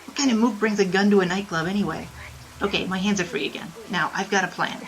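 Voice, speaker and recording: A woman's voice: mid-range voice, fast talker, lightly acted, rough recording. A woman voicing a brash, wisecracking 1920s bandit who talks in tough-guy slang, in an audio drama. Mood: scheming.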